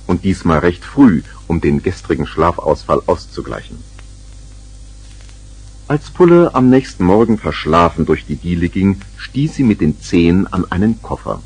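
A man with a husky voice narrating a scripted 1970s children's radio play. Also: sits low, exaggerated voice, poor audio.

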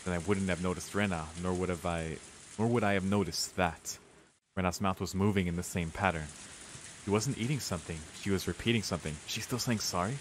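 A man's voice: low-pitched, fairly quick, rough recording. A young man, a let's player reading game narration aloud with casual reactions. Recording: clear rain and hiss behind.

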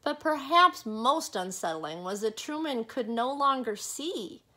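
A middle-aged woman reading a children's picture book aloud expressively, with dramatic pauses and casual asides to young listeners. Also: fairly high pitch, expressive, pushed and bright.

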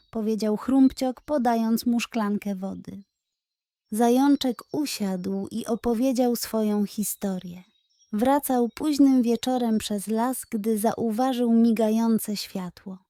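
A woman's voice: fairly high pitch, dark tone, dry recording, studio quality. A woman narrating a scripted bedtime story for children.

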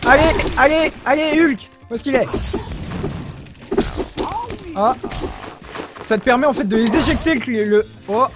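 Excitable high-voiced young man. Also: a little slow, pauses often, music in the background. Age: young adult. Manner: animated, exclaims often, talks to viewers. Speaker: gaming video creator. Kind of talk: excited gameplay commentary. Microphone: headphones with desk mic.

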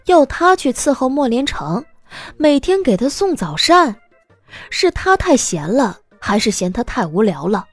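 Female storyteller for scripted drama narration, fairly high pitch, expressive and varied delivery. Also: measured pace, no room sound, faint music behind.

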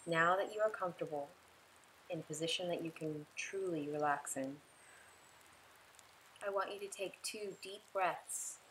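Low-voiced woman guiding a meditation. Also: fairly bright tone, a little hiss or hum, low-quality recording. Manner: soothing, unhurried, instructing in short pauses. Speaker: meditation guide. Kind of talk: guided relaxation meditation.

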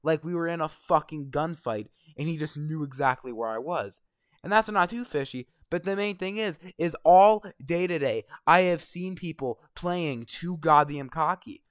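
A young man with a slightly hoarse voice, ranting and swearing constantly while commenting over gameplay. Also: fairly high pitch, moderate pace, no room sound, clean studio sound. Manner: angry, swearing constantly, rambling. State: voice going hoarse. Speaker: gaming vlogger.

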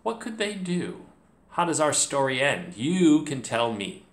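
Slow-paced, animated man teaching children. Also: mid-range voice. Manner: clear, encouraging, deliberate. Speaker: teacher, host. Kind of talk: read-along story lesson for children. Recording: roomy home recording.

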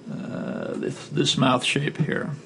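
Male tutorial instructor, mid-pitched, gravelly fry, step-by-step explaining, slight background noise. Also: little pitch movement, takes it slowly, fairly bright tone. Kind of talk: instructional screencast narration, informal. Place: indoor voice-over for a screen recording.

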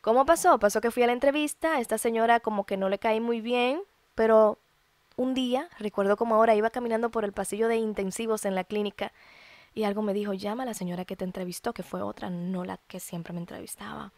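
High-voiced Dominican woman sharing her story. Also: fairly even intonation, fast, dry recording. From Dominican Republic. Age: early 30s. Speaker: podcast host. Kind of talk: personal testimony podcast monologue.

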